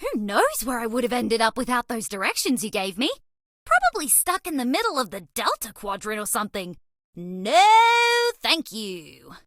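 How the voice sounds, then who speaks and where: A woman's voice: a little high, huge pitch swings, moderate pace, a bit bright, no room sound, clean studio sound. A woman voicing a bubbly, cheese-obsessed young alien rodent space traveler in a video game, with a perky, theatrical delivery. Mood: cheerful, playful. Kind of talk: animated game character voice lines.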